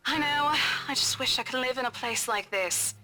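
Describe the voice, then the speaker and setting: A woman's voice: high voice, moderate pace, pushed and bright, faint music behind, poor audio. An actress playing a chatty young woman realtor, breezy, teasing and skeptical, in a visual novel game. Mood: breezy, wistful.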